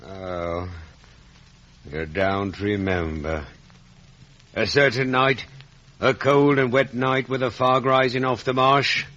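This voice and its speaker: An actor playing a big, grey-haired ex-convict, rustic, halting and fervently sentimental, in a 1950s radio drama. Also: male voice, mid-range voice, pushed and bright. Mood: eager, reminiscing. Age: older. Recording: dull vintage radio recording.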